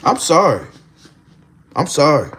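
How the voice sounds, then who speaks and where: Man, 40s, livestream host, blunt, preachy, swears a lot, bright forceful tone. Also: fairly high pitch, wildly swooping pitch, slow pace. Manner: blunt and preachy, swears freely, repeats "bro". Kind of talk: casual livestream rant.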